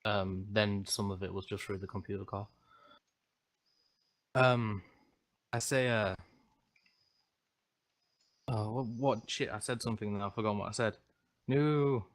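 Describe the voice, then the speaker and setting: A man's voice: lowish voice, unhurried, long pauses, no room sound. A man playing a tabletop game over a voice call, switching between casual chat and questions in character, swearing and laughing. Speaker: player.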